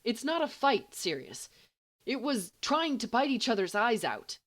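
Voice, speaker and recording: Lady playing flustered teen boy, stammery n earnest, tense, pitch jumps around, audiobook. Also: very animated. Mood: irritated. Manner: halting, stammering. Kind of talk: acted audiobook dialogue.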